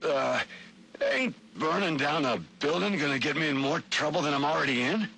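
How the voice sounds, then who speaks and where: An actor playing a cornered petty crook in a video game, hesitant and streetwise. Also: male voice, on the high side, moderate pace, gravelly, sharp tone, rough recording. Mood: uneasy, reluctant. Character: reluctant, cornered petty crook pressed into informing. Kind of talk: video game voice acting, audio log.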